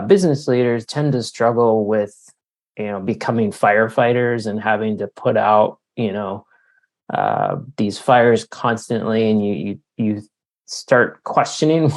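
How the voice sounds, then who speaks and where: A man's voice: fairly low pitch, a little mellow. A middle-aged man giving reflective, somewhat hesitant answers as an author and leadership coach being interviewed.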